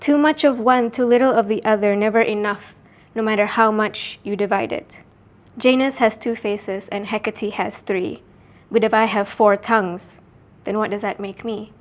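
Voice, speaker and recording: A woman from Sarawak, Malaysia, performing a spoken word poem in a measured, deliberate way, pausing between phrases. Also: mid-range voice, fairly even intonation.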